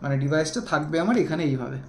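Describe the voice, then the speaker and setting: A man's voice: fairly high pitch, rapid-fire, darkish tone. A man giving a casual, explanatory hands-on product demonstration, talking straight to his viewers. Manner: explanatory, addresses viewers directly. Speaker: motovlogger reviewing gear. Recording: echoey room, faint background noise.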